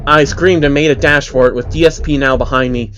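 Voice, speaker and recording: A man's voice: mid-range voice, fairly even intonation, brisk, fairly bright tone, highs cut off, faint music behind. A man narrating as host of a dramatic creepypasta reading, telling a first-person story to listeners. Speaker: narrator, channel host reading fan stories.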